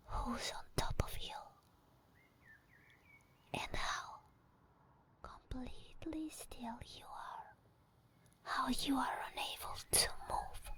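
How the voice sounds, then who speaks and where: Casting: a woman as an obsessed female night demon for ASMR, whispery, higher-pitched, teasing with intimate menace. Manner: slow, deliberate, intimate taunting with drawn-out pauses.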